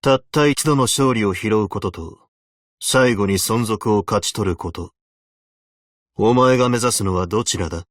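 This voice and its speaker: A man's voice: on the low side, moderate pace, dry recording, clean studio sound, lightly acted. A man voicing a stern, weary veteran abyssal hunter in a video game, speaking in a terse, solemn, commanding way. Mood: measured, stern. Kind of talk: Japanese video game character voice lines.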